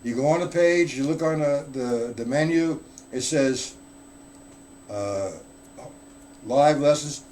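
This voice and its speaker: A man's voice: mid-range voice, slow pace, pauses often, a little mellow, a little hiss or hum. A man giving a casual live-streamed drum lesson, explaining rudiments in a loose, chatty way and answering viewers in the chat. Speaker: drum teacher.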